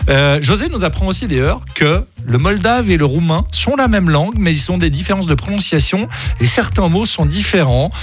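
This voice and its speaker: A man's voice: sits high, expressive, normal speed. A man hosting a radio show, chatting casually, laughing easily and reading out listener messages. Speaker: radio host. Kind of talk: lively radio chat.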